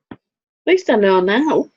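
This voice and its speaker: A woman's voice: on the high side, animated, slow, darkish tone, breathy edge. Chatty Northern English woman, dull audio. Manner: chatty, rambling, dry humor. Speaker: co-host. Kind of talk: casual chat.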